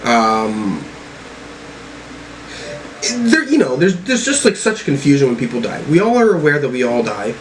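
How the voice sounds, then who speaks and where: A man giving casual reaction commentary as a music review host, rambling and halting as he thinks aloud. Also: mid-range voice, huge pitch swings, a little hiss or hum, poor audio.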